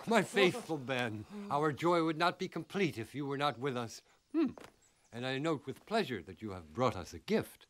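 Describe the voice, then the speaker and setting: A man's voice: medium pitch, big pitch swings, normal speed, close to an everyday voice. A man playing a stately middle-aged king in a cartoon, speaking in a formal, regal way with dry wit. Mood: regal, wry. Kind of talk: animated cartoon voice acting.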